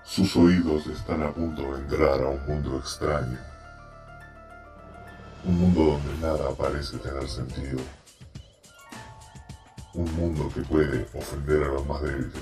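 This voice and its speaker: A man's voice: rumbling low, fairly even intonation, darkish tone, soft background music, voice pushed down. A man doing a solemn, dramatic announcer voice that introduces a strange world. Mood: ominous. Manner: slow, solemn, dramatic intonation. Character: ominous deep male announcer of a strange world.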